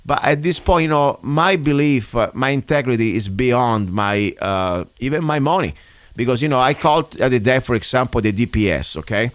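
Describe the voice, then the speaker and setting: A man's voice: mid-range voice, animated, moderate pace, dry recording. Man, radio host, rambling, indignant. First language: Italian. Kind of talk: casual radio talk.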